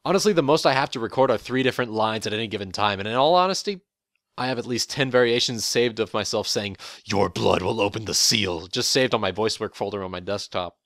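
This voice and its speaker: A young man with a deep voice, a voice actor giving a prepared, relaxed, matter-of-fact account of his role. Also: fast talker, dry recording, clean studio sound. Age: young adult. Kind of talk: prepared interview monologue. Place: college dorm room.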